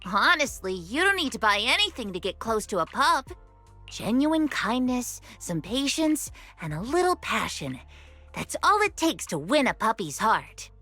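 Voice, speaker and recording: A woman's voice: on the high side, sing-song, a little slow, sharp tone, faint music behind, heavily acted. A woman playing an earnest, slightly flustered young pet shop clerk in an anime-style video game. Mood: earnest, eager.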